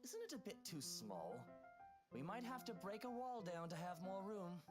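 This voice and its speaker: An actor playing a wealthy, choosy husband with an aloof, skeptical manner in a visual novel game. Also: male voice, high-pitched, sing-song, normal speed, over music. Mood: doubtful.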